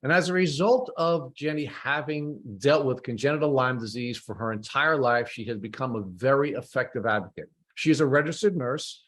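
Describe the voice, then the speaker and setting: A man hosting a podcast, giving enthusiastic introductions and asking measured, deliberate questions with small "uh" pauses. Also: mid-range voice, a bit bright. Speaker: podcast host.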